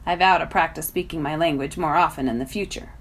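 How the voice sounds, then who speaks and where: A woman narrating a children's novel as a volunteer reader, in an expressive storytelling style. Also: low-pitched, fast talker.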